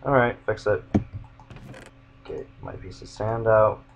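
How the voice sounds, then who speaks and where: A young man with a monotone voice, casually rambling as he walks viewers through his game builds. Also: on the low side, slow pace, gravelly, muffled recording, background noise. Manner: rambling, self-interrupting. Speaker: gamer showing his build.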